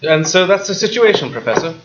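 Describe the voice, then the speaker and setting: A man's voice: sits high, very animated, fast, over music. A man acting an anxious, devoted young fiancé in a live radio play, hesitant and worried, in a dull, slightly noisy recording.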